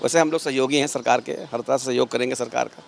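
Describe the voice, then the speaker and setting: A man with a medium-pitched voice gives an interview outdoors as a local worshipper. He explains earnestly, repeating and rewording phrases as he makes his points. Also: steady tone, fast, vocal fry, a little hiss or hum. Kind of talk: street interview answers. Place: outdoors outside a mosque.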